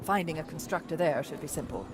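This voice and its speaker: A woman's voice: low-pitched, quick pace, very noisy, lightly acted. Woman playing precise, commanding older scientist. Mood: businesslike. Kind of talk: dramatic video game acting. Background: loud dramatic music.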